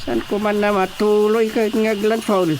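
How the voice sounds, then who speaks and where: An actor as a grumbling older parent in an Ilocano radio drama. The speech is slow, worried and hesitant, over clear background noise. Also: mid-range voice, level tone, a little mellow, natural voice. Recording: noisy scene with ambience.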